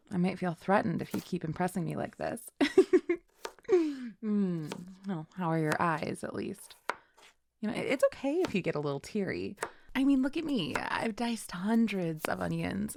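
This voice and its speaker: A woman's voice: mid-range voice, moderate pace, gravelly, darkish tone, a little hiss or hum. A woman playing a chill, teasing young best friend in a casual, intimate roleplay audio, chatting playfully with little hesitations. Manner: laid-back, playful, giggly, hesitant little fillers.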